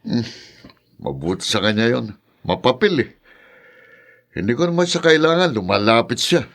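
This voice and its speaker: A cruel, bitter middle-aged father in a podcast drama. He has a medium-pitched voice and speaks curtly, gruff and dismissive. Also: sing-song, moderate pace, fairly bright tone. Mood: cold, bitter. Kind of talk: Filipino podcast radio drama acting.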